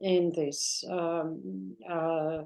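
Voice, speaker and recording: An older Australian woman with an Australian accent, a guest in an interview, reflecting unhurriedly with many pauses and fillers. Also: lowish voice, level tone, creaky, darkish tone. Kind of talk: warm reflective interview talk.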